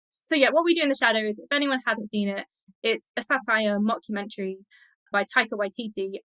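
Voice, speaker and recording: A woman's voice: fairly high pitch, sharp tone, dry recording, rough recording. A woman speaking as an expert guest in a conversational interview, explaining her thoughts with enthusiasm.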